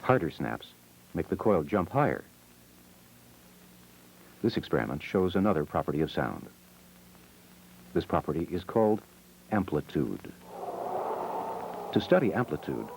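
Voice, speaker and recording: Deep, creaky-voiced male educational narrator. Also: wildly swooping pitch, darkish tone, slight background noise, low-quality recording. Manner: measured, short simple explanatory sentences. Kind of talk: scripted educational film narration. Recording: old VHS educational film soundtrack. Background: music.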